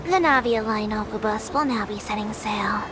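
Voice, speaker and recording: A woman's voice: mid-range voice, normal speed, music in the background, lightly acted. A woman voicing a small, polite, dutiful female creature who works as a tour guide in a video game, reciting announcements formally and precisely.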